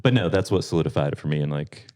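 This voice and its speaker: A man's voice: very deep voice, very fast, warm tone. A man, a band member, chatting casually and joking with bandmates on a podcast. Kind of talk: casual chat.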